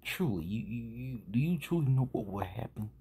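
A man giving informal video commentary as host, husky and breathy, emphatic and halting, full of rhetorical questions. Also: medium pitch, expressive, mellow.

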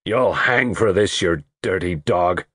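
Man acting a grim, agitated, husky man. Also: mid-range voice, expressive, takes it slowly, no room sound, studio quality, pitched above the performer's own voice. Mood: accusing, bitter. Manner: heavy, thick, muttering or accusing. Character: grim, agitated, vengeful wealthy older man.

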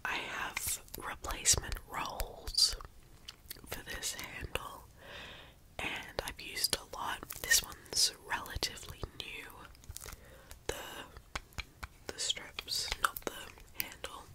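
Young woman, soft unhurried whisper, congested, croaky throat, close mic, bedroom. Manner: soft whispering, slow and unhurried with frequent ums. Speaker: ASMR creator. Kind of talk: ASMR. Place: small bedroom indoors. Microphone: close studio mic with foam cover.

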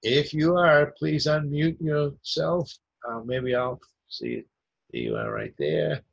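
Male host, hesitant, polite, gravelly husky voice, dry muffled call audio. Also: mid-range voice, animated, takes it slowly, no room sound. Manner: hesitant, polite, many fillers. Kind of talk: video call interview. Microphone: video call.

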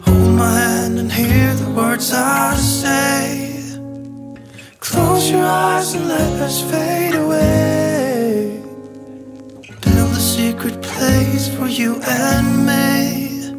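A woman singing a soft pop ballad in a studio song. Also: high-pitched, steady tone, very slow. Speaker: singer. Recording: song played over loud music.

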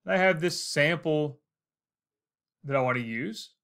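A man's voice: a little high, measured pace, creaky, fairly bright tone. An American man in his forties narrating a casual instructional screencast, explaining things step by step. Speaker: tutorial host.

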